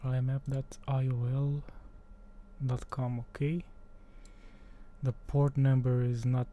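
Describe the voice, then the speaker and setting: A man whose native language is Romanian, talking through a software tutorial in a casual, thinking-aloud way with mild exasperation. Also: mid-range voice, flat delivery, a little slow, a little hiss or hum. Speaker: tutorial maker. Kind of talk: screen-recorded software tutorial.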